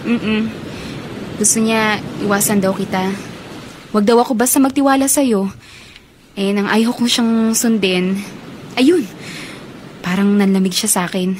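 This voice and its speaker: An actress playing an earnest, soft-spoken young college woman in a radio drama, troubled over a suitor. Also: medium pitch, a little slow, pauses often, slight background noise, close to an everyday voice. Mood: downcast. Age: young adult.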